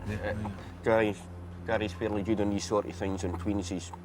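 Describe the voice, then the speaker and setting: A Scottish man in his forties, a quiz contestant who speaks in a measured, somewhat hesitant way. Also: on the low side, level tone, music in the background. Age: 40s.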